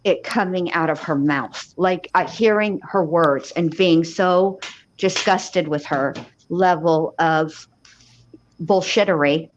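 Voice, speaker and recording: A woman's voice: lowish voice, takes it slowly, slight background noise. A woman talking casually and emphatically as a true-crime livestream panelist, with salty, colloquial wording. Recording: dull, echoey livestream audio.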